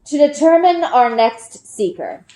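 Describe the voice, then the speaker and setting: A woman's voice: high voice, sing-song, mellow, pitched above the performer's own voice. Woman as a solemn village elder, echoey. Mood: grave, formal. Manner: slow, solemn, deliberate. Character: grave senior village Seeker, a man making an announcement.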